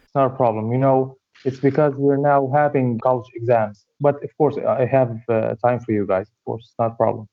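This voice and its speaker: A man's voice: medium pitch, fairly even intonation, brisk. A young man from Syria, a student, answering interview questions in a friendly way with many fillers. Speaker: guest, dental or medical student. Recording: tinny phone-like remote line.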